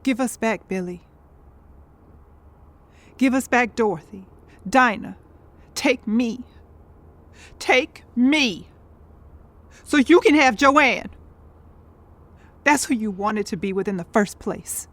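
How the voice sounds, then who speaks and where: A woman playing a despairing, grief-stricken woman in an audio drama, speaking in broken, halting pleas. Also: a little high.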